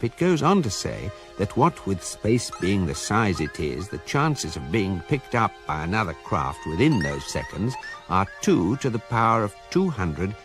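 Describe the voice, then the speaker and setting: A British man narrating a scripted radio drama in a measured, wry, deadpan way. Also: deep voice. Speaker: narrator, reading a guidebook's story.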